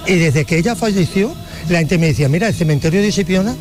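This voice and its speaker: A man who waits tables at a beach bar answers a reporter's questions in a fairly high voice. He tells his stories with easy, relaxed humor. Faint bar music plays behind him. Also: fast talker. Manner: relaxed, anecdotal storytelling. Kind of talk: interview answers.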